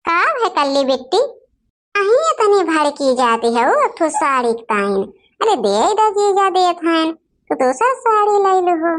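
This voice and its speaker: A woman's voice: very high voice, very animated, unhurried, heavily acted. A woman voicing a placating, gossipy elder village sister-in-law in a comic cartoon, with an Awadhi accent and a coaxing manner. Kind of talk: homemade comic cartoon dialogue.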